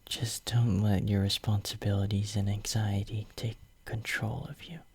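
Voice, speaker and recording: A man playing a devoted, possessive young hero in a romantic ASMR roleplay, murmuring tenderly and intimately in a breathy whisper close to the microphone. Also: lowish voice, little pitch movement, moderate pace, darkish tone, exaggerated voice. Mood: soothing. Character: devoted, possessive young male hero soothing his lover.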